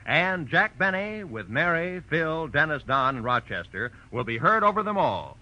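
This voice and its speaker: A male American network announcer in 1940s radio style. His voice is fairly high and forceful, with lively swings in pitch. The sound is a dull vintage broadcast. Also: moderate pace, slight background noise.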